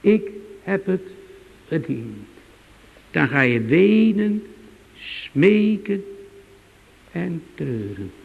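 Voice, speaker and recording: A man's voice: sits high, sing-song, drawn-out words, creaky, long pauses, husky, big-room sound, narrow, tinny audio. A man preaching a sermon in a church, with a solemn, swooping preaching cadence.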